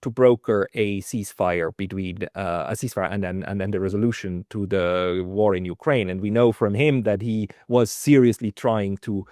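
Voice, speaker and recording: A man with a fairly low voice hosts an interview over a video call. He asks long questions that stumble on uhs and restarts. The sound is dry and near the mic. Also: steady tone, normal speed, hardly any pauses, a little mellow, no echo. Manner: hesitant, questions with fillers. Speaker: interview host.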